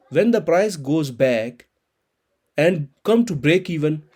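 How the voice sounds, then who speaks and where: A man in his thirties, an Urdu speaker, explaining a trading chart in halting with many restarts. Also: a little high, darkish tone. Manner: halting non-native English, frequent restarts and repeated words. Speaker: crypto trading channel host.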